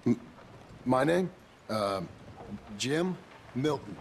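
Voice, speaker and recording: An actor playing a gruff, weary, evasive American frontier father in a video game, talking curtly in folksy phrases. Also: medium pitch, expressive, extremely slow, full character voice. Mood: hesitant, guarded. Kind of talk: naturalistic video game acting. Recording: game dialogue over wagon and outdoor noise.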